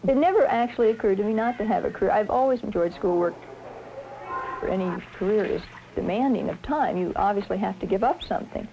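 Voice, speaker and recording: A woman surgeon speaking in a measured, articulate way in a reflective documentary interview. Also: medium pitch. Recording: 1970s film sound with faint noise.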